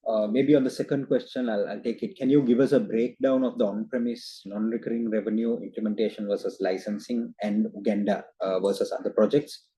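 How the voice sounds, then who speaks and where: A man giving a formal investor presentation as a company executive on a video call, halting often with hesitant filler sounds. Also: on the low side, fairly even intonation, mellow, breathy edge, low-quality recording. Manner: hesitant, halting delivery with frequent uhs.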